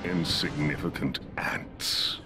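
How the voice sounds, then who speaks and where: An actor playing a grandiose, theatrical, gloating villain, a conqueror through time, in a video game. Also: male voice, rumbling low, steady tone, takes it slowly, over loud music. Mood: scornful gloating. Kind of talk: video game cutscene acting.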